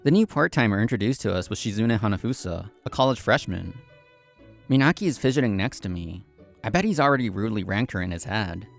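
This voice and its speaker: A man's voice: on the low side, lively intonation, fast talker, gravelly, soft background music. A man playing an earnest, polite young café assistant manager, narrating his own story in scripted first person. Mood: earnest, reflective. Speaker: narrator and lead actor of a manga story video.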